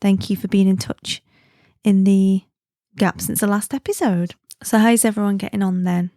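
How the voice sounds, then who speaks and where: A woman's voice: medium pitch, steady tone, normal speed, mellow, no room sound, studio quality. Chatty woman hosting a podcast. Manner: friendly and chatty, laughs easily. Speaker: podcast host. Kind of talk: casual chatty podcast monologue.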